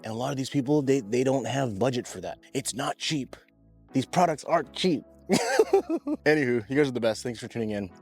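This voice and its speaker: A man's voice: mid-range voice, fairly quick, darkish tone, breathy edge, soft background music. Laid-back, slangy man vlogging outdoors. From Pacific Northwest. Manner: laid-back, chatty, slangy, says bro and dude a lot. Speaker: lawn care vlogger. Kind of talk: casual vlog talk to camera. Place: outdoors in a yard.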